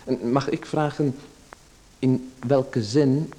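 An actor playing a nervous, overly polite playwright in a radio play, apologetic and stammering. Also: male voice, mid-range voice, steady tone, takes it slowly, warm tone, poor audio.

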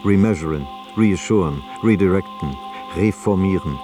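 An actor playing a cool, analytical narrator in a radio drama, with clipped phrasing. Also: male voice, low-pitched, moderate pace, close to an everyday voice. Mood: clinical. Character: cool analytical narrator reciting corporate jargon. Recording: music behind the voice.

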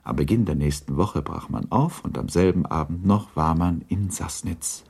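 A sober male narrator for a classic literary radio play. His voice sits very low with a gravelly creak. The delivery is measured and detached, like scripted storytelling. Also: darkish tone, exaggerated voice.

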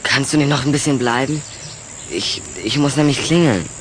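A woman's voice: rumbling low, normal speed, background noise. A woman playing a hesitant, slightly sulky boy in a German children's audio drama. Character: hesitant, sulky human boy, the vampire's friend.

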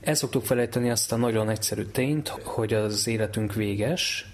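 Male expert interviewee, lowish pitch, explanatory interview delivery. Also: a little hiss or hum.

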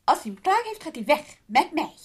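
A woman's voice: sits high, sing-song, fairly quick. An actress playing an earnest, stubborn woman defending the man she loves in a radio play, with pointed questions. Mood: defiant, indignant.

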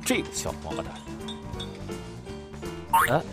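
A man's voice: slow pace, natural voice. A man playing a decent, forgiving and easily flustered dog owner, speaking earnestly in a Chinese audio drama. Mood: confiding, wry. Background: background music.

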